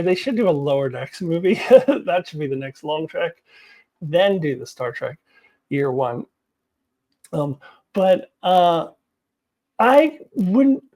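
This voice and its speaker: A woman's voice: low voice, dark tone. A woman giving casual, unscripted commentary as a video host, speaking in an emphatic, opinionated way.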